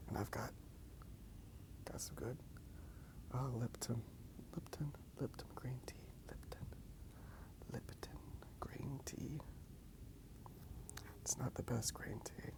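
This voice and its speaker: A man rambling softly in a breathy ASMR whisper, unhurried and halting as he comments on his drawing. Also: medium pitch, fairly even intonation. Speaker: host of a drawing ASMR channel.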